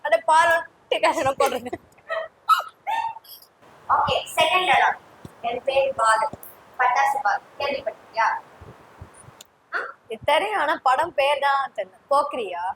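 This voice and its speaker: A woman's voice: high-pitched, expressive, unhurried, pauses often. A woman chatting casually and playfully as a co-host and contestant in a challenge show. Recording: clearly noisy background.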